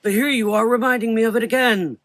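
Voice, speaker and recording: A man voicing a frightened, frail elderly doctor with a weak, thin voice and halting speech in a gothic horror audio drama. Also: very high-pitched, a bit bright, dry recording, pitched above the performer's own voice. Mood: awed, bitter. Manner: halting, repeating phrases, exclaiming.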